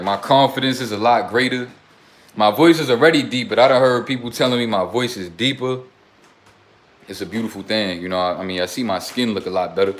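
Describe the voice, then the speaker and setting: A young man casually livestreaming to his chat, talking in loose streetwise slang, blunt and preachy, rambling from one topic to the next. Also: fairly low pitch. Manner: rambling, drifts between topics. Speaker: self-styled life coach.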